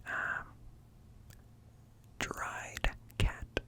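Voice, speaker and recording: A man reading aloud in a hushed ASMR whisper, close to the microphone, pausing between short phrases. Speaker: ASMR creator reading encyclopedia articles aloud. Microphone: close-up microphone with ear-to-ear stereo.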